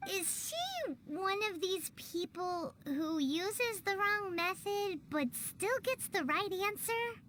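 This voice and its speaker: A woman voicing a tiny, chirpy, curious childlike fairy companion in a video game cutscene, with a squeaky voice. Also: fairly bright tone, a little hiss or hum, heavily acted. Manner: speaks of self in third person.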